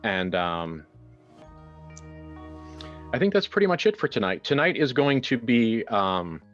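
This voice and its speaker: A man's voice: mid-range voice, sing-song, measured pace, highs cut off, soft background music. A man hosting a horror roleplaying game in a casual, improvised way, with wry joking asides. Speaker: game master and host.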